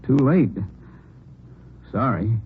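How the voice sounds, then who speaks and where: Animated, rueful used car dealer. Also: male voice, medium pitch, very slow. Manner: folksy, self-reproaching. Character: regretful, talkative male used car dealer. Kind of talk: old radio drama acting. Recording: tinny, faintly noisy old radio recording.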